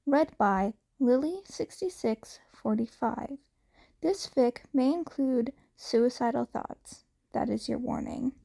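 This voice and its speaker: A woman narrates a fan fiction audiobook in a high, mellow voice. Her reading is very slow and careful, with lively rises and falls. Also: gravelly.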